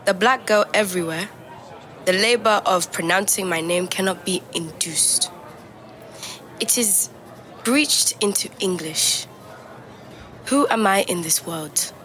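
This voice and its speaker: A woman playing a reflective young migrant, speaking measured, introspective fragments as a scripted audio diary. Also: medium pitch, a bit bright. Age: young adult. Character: reflective young African migrant woman recording private night-time notes.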